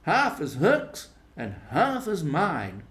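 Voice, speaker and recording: A man's voice: on the high side, huge pitch swings, slow pace, fairly bright tone, voice pushed up, exaggerated voice. A man voicing a bold, mischievous young boy in a storybook, speaking emphatically and with assertive confidence. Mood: proud.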